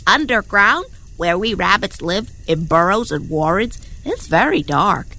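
Woman voicing a naive young boy rabbit, lower voice, lively swooping pitch, excitable and gasping, storybook tale. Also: a little slow, heavily acted, rough recording. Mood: scheming. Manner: excitable, gasping, boastful then sheepish.

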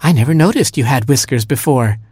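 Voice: mid-range voice, animated, fairly quick, darkish tone, breathy edge, no room sound, voice pushed up. A performer voicing a naive, curious little boy robin in a children's storybook, with childlike, wide-eyed wonder. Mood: curious, innocent.

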